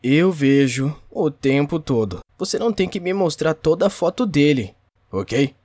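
A man's voice: a little high, expressive, moderate pace, noisy background, close to an everyday voice. A man with a Brazilian accent playing an exasperated, grumbling friend in a comedic cartoon fandub. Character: exasperated male friend fed up with cat photos.

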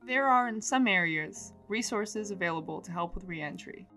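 Woman narrating a documentary, soft music. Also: mid-range voice, expressive. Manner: measured, informative. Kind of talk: scripted narration.

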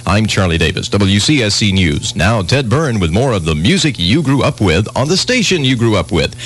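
Lively, lowish-voiced male radio newsman. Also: normal speed. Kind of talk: radio news delivery and casual banter. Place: radio studio. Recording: 1980s AM radio aircheck.

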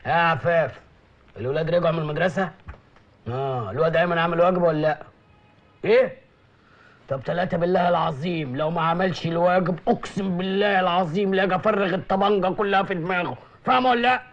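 An actor with an Egyptian Arabic accent playing a nosy, fast-talking doorman who later turns into a gruff policeman in a comedy film. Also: very high-pitched, lively intonation, lo-fi sound, exaggerated voice. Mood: menacing. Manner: sly, teasing, then menacing and shouting.

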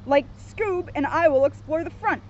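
A woman's voice: high voice, moderate pace, darkish tone, lo-fi sound, lightly acted. A woman voicing a goofy, easily scared young cartoon slacker man in a slangy, exclamatory way, on a noisy outdoor recording. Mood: casual.

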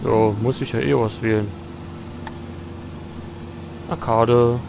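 A man casually commenting as he starts a flight game, relaxed and a little irritated by the menus. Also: medium pitch, slow pace, vocal fry, dull audio, background noise. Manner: relaxed, mildly annoyed chatter. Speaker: let's play host. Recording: voice over loud game music.

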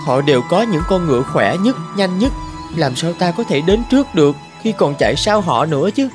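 A man voicing a poor, earnest young herdsman in an animated fairy tale. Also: a little high, moderate pace, soft background music. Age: young adult.

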